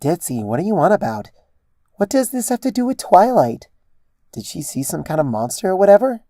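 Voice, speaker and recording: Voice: mid-range voice, big pitch swings, a little fast, dark tone, dry recording, clean studio sound, pitched above the performer's own voice. A narrator voicing a skeptical, impatient female pony who questions things in exasperation, in a story reading. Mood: puzzled, impatient. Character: puzzled, impatient young mare pony, the story's skeptical heroine.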